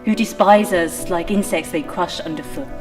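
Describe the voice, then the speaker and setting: An oppressed peasant woman played by an actress pleads gravely in a lowish voice. Her lines are poetic and theatrical, over music. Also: moderate pace, close to an everyday voice. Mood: grave, fearful. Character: fearful, oppressed peasant woman bearing witness. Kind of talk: poetic stage narration. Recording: music under the voice.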